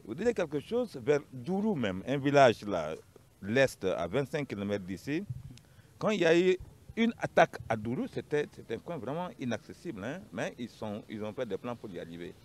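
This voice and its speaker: A man's voice: medium pitch, sing-song, normal speed. A man with a Malian accent giving an unscripted account as a panel guest, emphatic and repeating his phrases.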